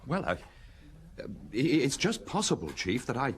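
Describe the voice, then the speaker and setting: A man's voice: medium pitch, big pitch swings, moderate pace, a little hiss or hum, natural voice. An actor playing an earnest, bashful young junior detective in a radio drama, hesitant but eager with his chief. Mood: flustered. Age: young adult.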